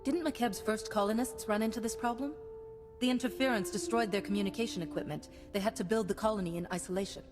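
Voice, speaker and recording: Game heroine woman acting: steadfast, calm, formal, mid-range voice, prominent music behind. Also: mid-range voice, quick pace, close to an everyday voice. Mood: calm resolve. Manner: composed, earnest, formal. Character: calm, steadfast female warrior-monk hero, noble and earnest. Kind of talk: scripted video game acting.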